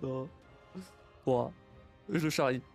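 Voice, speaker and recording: A man's voice: medium pitch, gravelly, darkish tone, faint music behind. A young man chatting casually on a live stream, stumbling and repeating himself as he thinks aloud. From Portuguese family. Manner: halting, many repeats and restarts, laughs often.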